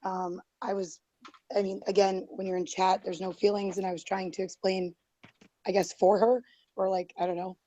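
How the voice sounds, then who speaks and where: Dark-voiced woman losing her voice. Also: medium pitch, level tone, normal speed. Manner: rambling, defensive, many fillers and restarts. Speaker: guest. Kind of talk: heated online group call.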